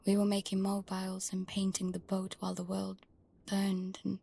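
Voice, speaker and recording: A woman playing a protective young girl who narrates her life at sea in a hushed, intimate way for an audio drama. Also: medium pitch, completely flat delivery, moderate pace. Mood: wistful. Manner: hushed, breathy, often near whispering. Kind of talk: first-person scripted audio drama narration.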